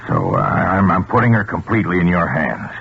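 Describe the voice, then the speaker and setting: An actor playing an obsessed, scheming scientist in a 1940s radio suspense drama, speaking in an intense, halting way. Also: male voice, deep voice, big pitch swings, vocal fry, exaggerated voice. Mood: hesitant, furtive. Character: obsessed male scientist doctor, evasive, scheming husband. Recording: muffled, noisy 1940s radio recording.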